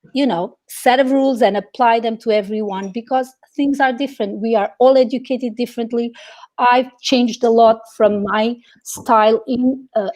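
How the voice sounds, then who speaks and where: A woman's voice: fairly high pitch, darkish tone. Portuguese-born woman chatting animatedly. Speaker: etiquette consultant. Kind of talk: casual video call chat. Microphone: video call.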